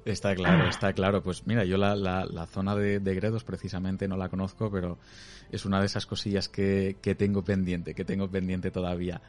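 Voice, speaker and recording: A man's voice: deep voice, level tone, a little fast, darkish tone. A man hosting an informal, chatty interview, talking casually and encouragingly with his guest. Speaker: interviewer, host.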